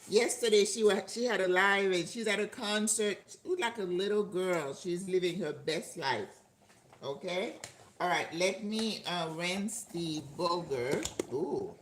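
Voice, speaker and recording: Older woman, low voice, chatty cooking host, roomy kitchen echo. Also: measured pace. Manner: chatty, talks to viewers and laughs often. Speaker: channel host cooking live. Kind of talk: casual chat while cooking. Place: home kitchen. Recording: echoey kitchen with faint background noise.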